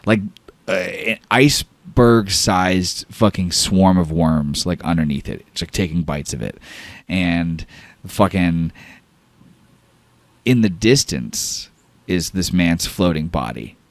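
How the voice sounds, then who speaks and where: Low-voiced man running a tabletop game. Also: slow pace, no echo. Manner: casual, profane, dramatic. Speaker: game master. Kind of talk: improvised narration of a tabletop horror sci-fi game.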